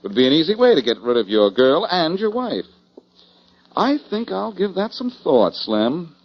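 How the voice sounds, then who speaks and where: An actor playing a breezy, confident amateur detective in 1940s radio style, wry and probing. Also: male voice, on the high side, very animated, moderate pace. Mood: challenging. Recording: muffled lo-fi 1940s radio broadcast.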